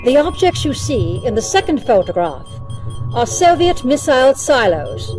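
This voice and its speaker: Game cutscene: female intelligence briefer, soft-edged timbre, composed, precise, serious. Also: sits high, very animated, normal speed, faint music behind. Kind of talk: dramatic game cutscene acting.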